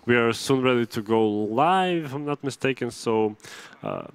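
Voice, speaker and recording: A man's voice: mid-range voice, expressive, normal speed, gravelly, clear, resonant tone. A man with a Serbian accent hosting an esports studio desk in casual chat, often restarting phrases and laughing.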